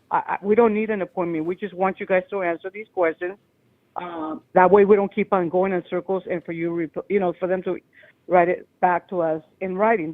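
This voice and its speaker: A woman calls in over a tinny, thin phone connection, her loud voice pushing through a long, rambling, agitated story. The sound is dry and close, with no room around it. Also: on the low side, no room sound. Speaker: caller. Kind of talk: call-in show phone call.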